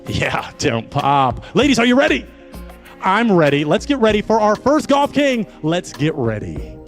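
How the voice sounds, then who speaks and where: A man hosting a live dating show in an excitable, playful, rambling way, speaking into a handheld mic. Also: high voice, a little slow, soft background music.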